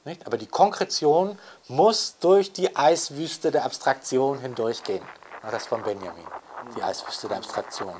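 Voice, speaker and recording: A man's voice: mid-range voice, normal speed, darkish tone, a little hiss or hum. A man giving a conversational scholarly explanation, emphatic and often checking with short tag questions. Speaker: scholar interviewed on Adorno.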